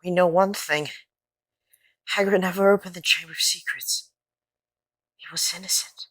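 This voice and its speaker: A woman playing an earnest, quick-thinking boy wizard in an audio drama, speaking with deliberate conviction. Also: lowish voice, fairly even intonation, natural voice. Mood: wistful. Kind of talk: fan audio drama acting.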